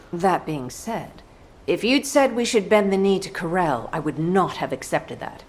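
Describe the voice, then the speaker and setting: A woman playing a middle-aged, battle-hardened mother in a video game cutscene, composed, deliberate and knowing. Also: lowish voice, a little hiss or hum. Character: battle-hardened mature mother, wry and resolute.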